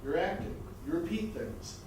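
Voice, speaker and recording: Jealous stage lover, animated and echoey man acting. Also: high voice, moderate pace. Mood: scornful. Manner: exclamatory, needling. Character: jealous, clingy male lover and agent of a star. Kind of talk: stage comedy reader's theatre acting. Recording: very echoey stage, distant mic, noisy.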